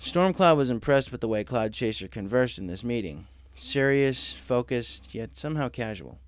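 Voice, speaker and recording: A man's voice: medium pitch, expressive, normal speed, no echo. A man reading scripted fiction aloud as a story narrator.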